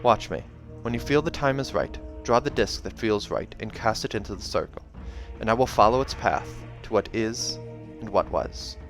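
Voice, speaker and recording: A man's voice: lowish voice, moderate pace, hardly any pauses, soft background music, natural voice. Man acting a wild, haunted young seer woman. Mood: solemn. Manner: trance-like, incantatory, dramatic. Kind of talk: audiobook character acting.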